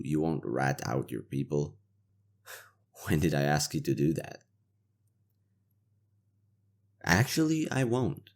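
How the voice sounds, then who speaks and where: A man playing a cynical, world-weary imperial knight interrogator with a conscience, in a roleplay audio drama, gravelly, sardonic and given to swearing. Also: extremely low pitch, a little mellow, heavily acted. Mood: cool, controlled, then dry, defensive.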